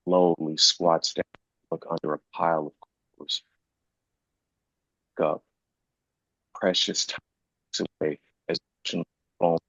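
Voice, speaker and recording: A very low-voiced man narrates screenplay directions slowly. His voice is husky, and the sound is dull, picked up in a roomy space. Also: level tone, fairly bright tone. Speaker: actor reading stage directions. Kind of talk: scripted narration at a live table read. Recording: muffled, echoey room pickup.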